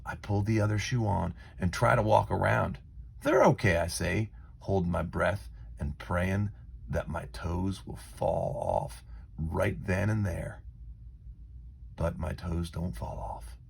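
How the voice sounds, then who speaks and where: A man reading a children's story aloud in an expressive, dramatic way with deliberate phrasing. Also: low voice, vocal fry, breathy edge. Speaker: storybook reader.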